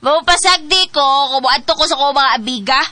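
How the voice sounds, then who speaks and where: A woman's voice: high voice, brisk, pushed and bright, a little hiss or hum. An actress playing a restless, discontented young girlfriend in a radio drama, with a whiny, emotional delivery. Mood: fed up. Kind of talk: radio drama acting in Cebuano.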